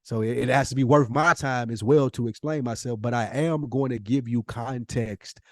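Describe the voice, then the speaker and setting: A man's voice: mid-range voice, moderate pace, no room sound, studio quality. A man co-hosting a casual podcast conversation, talking in an emphatic, probing way with plenty of slang. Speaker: podcast co-host.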